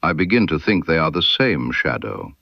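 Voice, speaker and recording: Guy doing a victorian detective in an audio story, precise and probing, deep voice, lots of up and down. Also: very animated, moderate pace, vocal fry, dry recording, dull audio, exaggerated voice. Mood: wry, suspicious. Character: incisive male Victorian detective, composed and insistent.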